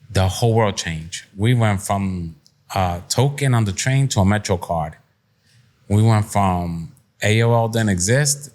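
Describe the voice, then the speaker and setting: A middle-aged man from Puerto Rico talking candidly as an interview guest, plain-spoken, streetwise and reflective. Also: on the low side. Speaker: podcast guest, entrepreneur. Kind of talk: candid conversational interview, personal storytelling.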